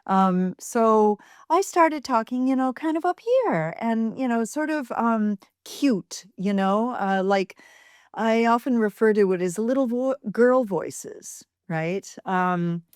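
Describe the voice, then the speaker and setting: An American woman, a voice professor being interviewed, with a fairly deep voice, speaking thoughtfully with pauses and fillers. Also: animated, clean tone, no room sound, studio quality. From United States. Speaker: voice and speech professor, guest. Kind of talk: interview answers.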